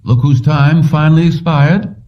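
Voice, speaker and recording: A man's voice: on the high side, fairly even intonation, moderate pace, recorded from a distance, dull audio, pitched above the performer's own voice, lightly acted. A man voicing a hearty, breezy male company boss in an audiobook story. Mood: teasing.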